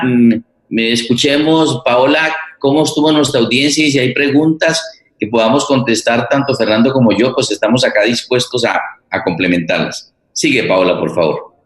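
A man speaking reflectively at a forum as a theatre moderator, halting and repeating words as he searches for phrases. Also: medium pitch. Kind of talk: reflective spoken forum talk. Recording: roomy, echoing sound.

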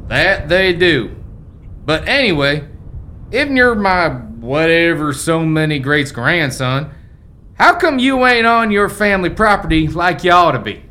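An actor playing a folksy, forgetful old American rancher's ghost in a family radio play, with rustic old-timer phrasing. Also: male voice, a little high, animated, unhurried, sharp tone. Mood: curious, amused. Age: older.

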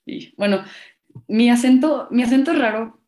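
A woman's voice: fairly high pitch, moderate pace, warm tone. A young woman from Monterrey with a Mexico City Spanish accent, chatting casually and playfully as a podcast guest. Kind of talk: casual chat.

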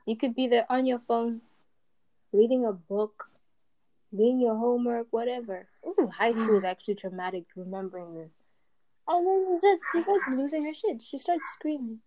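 Young woman, warm-toned, expressive storyteller. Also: on the high side, moderate pace, low-quality recording. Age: young adult. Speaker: podcast co-host. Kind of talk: casual storytelling chat.

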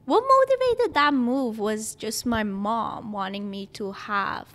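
A young woman with a mixed Persian and Greek accent chats as an interview guest. Her voice sits a little high, and she tells her story in an animated way, often laughing and acting out what others said. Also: takes it slowly. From Iran. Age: 20s. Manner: animated storyteller who acts out others' words. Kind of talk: casual interview chat.

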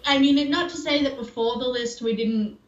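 A woman's voice: high voice, normal speed, reverberant, lo-fi sound. A woman co-hosting a podcast from home, chatting in a laid-back, blunt, self-deprecating way. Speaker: podcast co-host. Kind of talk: casual chat.

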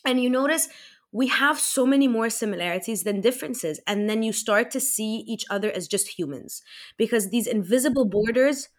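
A woman's voice: a little high, lively intonation, normal speed. A woman in her twenties from Egypt and America, chatting casually and reflectively as an interview guest. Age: 20s. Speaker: podcast guest.

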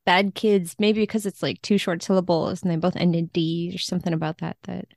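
A woman chatting casually and wryly about books as a podcast guest, quick to laugh. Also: fairly low pitch, flat delivery, gravelly, darkish tone, dry recording, clean studio sound. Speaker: guest, literary translator.

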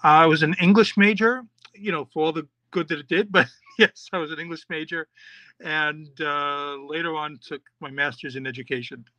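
Middle-aged man, guest podcaster; bright, slightly high voice, chatty and rambling, dry close mic. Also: moderate pace, no echo, low-quality recording. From New York. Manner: chatty, rambling, many fillers and restarts. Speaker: podcast host and children's author. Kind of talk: casual interview answers.

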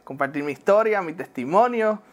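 A young man with a Puerto Rican Spanish accent, talking as an interview guest. He speaks hesitantly and warmly, keeps asking "¿verdad?", laughs easily and drops English words into his Spanish. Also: high-pitched, lively intonation, moderate pace. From born in New Jersey, raised in Puerto Rico. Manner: hesitant, many "¿verdad?" tags, laughs often, mixes in English. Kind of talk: casual interview.

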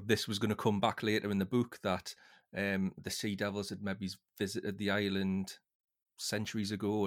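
A man hosting a casual book discussion, speaking in a thoughtful, hesitant way with halting phrases. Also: fairly low pitch.

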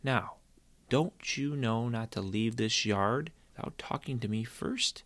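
A man's voice: mid-range voice, takes it slowly, fairly bright tone. A man voicing a worried mother scolding her young son, skeptical of his tale.

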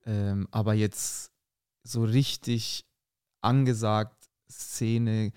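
A man's voice: lowish voice, very slow, clear, resonant tone, no echo, clean studio sound. Munich man rolling his R's. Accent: Munich, with a rolled R. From Munich, Germany. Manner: relaxed, thoughtful, many hedges. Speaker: podcast guest, stand-up comedian. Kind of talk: casual podcast chat.

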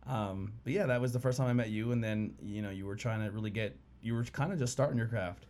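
A man hosting a casual podcast over beers, talking in a relaxed, rambling way with lots of fillers. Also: lowish voice, fast. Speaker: podcast host.

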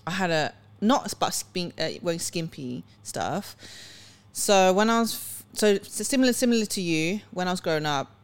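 A woman's voice: on the low side, animated, moderate pace, few pauses, clean tone. Chatty woman hosting a podcast. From Sweden. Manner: chatty, rambling with restarts. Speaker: podcast host. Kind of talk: casual chat.

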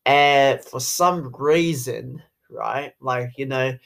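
A man's voice: medium pitch, slow, vocal fry, fairly bright tone. A man in his twenties with an Australian accent, talking through a casual podcast monologue in a rambling way, full of "you know" fillers. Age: 20s. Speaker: podcast host, sales rep.

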